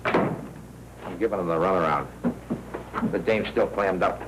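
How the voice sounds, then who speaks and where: A man's voice: sits low, unhurried. An actor with an American accent playing a streetwise, pushy newsroom man in a 1940s crime film, full of slangy wisecracks. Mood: insistent, needling. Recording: noisy 1940s film soundtrack.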